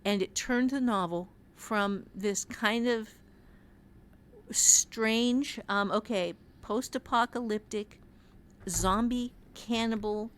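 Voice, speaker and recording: A woman talking casually on a podcast as an author and writing teacher, telling her story in a halting, reflective way. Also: a little high, a little hiss or hum.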